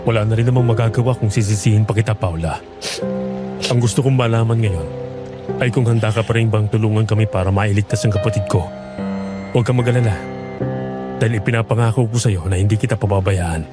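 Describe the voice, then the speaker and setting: A man playing a determined, protective young farmer in a horror audio drama, speaking in a measured, earnest way. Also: lowish voice, fairly even intonation, over loud music, close to an everyday voice. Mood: firm.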